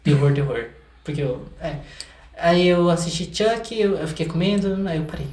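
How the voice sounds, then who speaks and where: A man's voice: a little high, normal speed, a little mellow. Young Brazilian man in a roomy echo. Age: young adult. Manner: informal, repeats words for emphasis. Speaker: interview guest, video maker and film student. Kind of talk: casual chat. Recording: roomy echoing sound.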